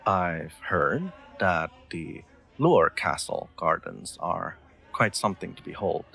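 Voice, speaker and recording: A man's voice: low voice, bright, forceful tone, exaggerated voice. A man playing an awkward, sweet young royal knight in a roleplay audio drama, speaking formally with hesitant stammers and nervous laughter. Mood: bashful, hopeful.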